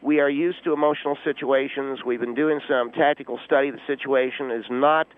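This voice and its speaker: A man's voice: medium pitch, fairly even intonation, fairly quick. Man playing a pompous volunteer cop on a tinny phone. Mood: officious, confident. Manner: pompous pseudo-tactical jargon, hesitant filler-laden delivery. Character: officious, self-important male volunteer auxiliary policeman, clueless and unflappable. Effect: telephone line. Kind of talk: deadpan comic radio call-in. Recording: tinny, narrow phone line.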